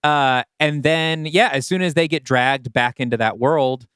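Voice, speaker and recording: Wry, smooth-voiced man, dry recording. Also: on the high side, a little slow, fairly bright tone, dry recording. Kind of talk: casual chat.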